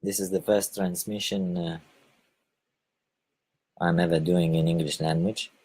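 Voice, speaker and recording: A man from Lithuania with a Lithuanian accent, giving a halting spoken monologue and often repeating or correcting his words. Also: sits low, steady tone, dark tone, slightly rough. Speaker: online video host.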